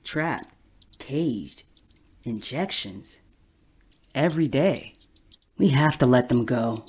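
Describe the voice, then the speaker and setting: An actress playing an anguished, empathic woman in an audio drama, speaking in a halting, solemn, intense way. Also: extremely low pitch, animated, darkish tone, slightly rough, full character voice. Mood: haunted sorrow. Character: anguished empathic woman pitying caged lab animals.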